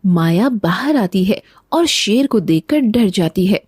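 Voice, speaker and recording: A woman's voice: medium pitch, expressive, takes it slowly, a little mellow, breathy edge, no echo, studio quality. A woman narrator reading a scripted children's story.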